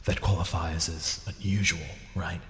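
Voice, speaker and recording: A man's voice: low-pitched, little pitch movement, a little slow, a bit bright. A man playing a blunt, skeptical starship commander in an audio drama, with a wry, informal way of talking. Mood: explaining. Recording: echoey room with long reverb.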